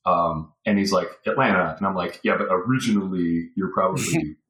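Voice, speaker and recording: A man with a low voice talks through a podcast interview in loose, rambling fashion, full of fillers and restarts. The sound carries a noticeable echo from the room. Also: a little fast, a bit bright. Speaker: podcast guest. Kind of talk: casual interview talk.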